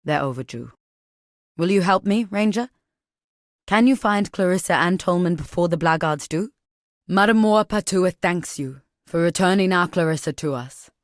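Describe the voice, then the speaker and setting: Game role: solemn warrior matriarch, voiced by an actress, fairly deep female register, deliberate and formal, protective, very dry recording. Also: animated, no room sound, close to an everyday voice. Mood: urgent, pleading. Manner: measured, grave, formal, deliberate phrasing. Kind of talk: video game character dialogue.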